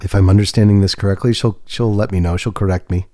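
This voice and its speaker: A man livestreaming a tarot reading, talking in a gentle, reassuring way with pauses and restarts. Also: on the low side, steady tone, dry recording, studio quality. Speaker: tarot reader and podcast host. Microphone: webcam setup.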